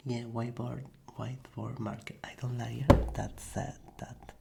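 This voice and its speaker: A man whose first language is Spanish gives a soft-spoken, whispered ASMR lesson into a condenser mic, hesitating often with fillers. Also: mid-range voice, little pitch movement, unhurried, vocal fry, very noisy. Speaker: ASMR creator teaching Spanish. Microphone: Blue Yeti condenser mic.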